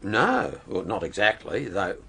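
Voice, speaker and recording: An actor playing a blunt, impatient middle-aged gentleman in a radio drama. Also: fairly low pitch, huge pitch swings, measured pace, vocal fry, husky, exaggerated voice. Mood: recalling.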